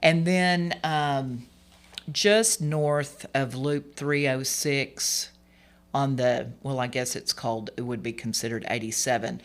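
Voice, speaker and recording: A hoarse, slightly gravelly voice from someone in their forties, pitched in a plain middle register. They talk earnestly as a political candidate being interviewed, wandering through fillers and false starts. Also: steady tone, takes it slowly. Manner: rambling, many fillers and restarts, earnest. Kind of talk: radio interview guest.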